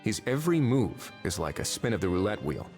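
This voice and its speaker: A low-voiced, shrewd, laid-back investigator. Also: male voice, big pitch swings, a little mellow, faint music behind. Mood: pensive. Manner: dry, measured, casual authority. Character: shrewd, easygoing, world-weary male investigating official. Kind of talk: animated video game voice acting.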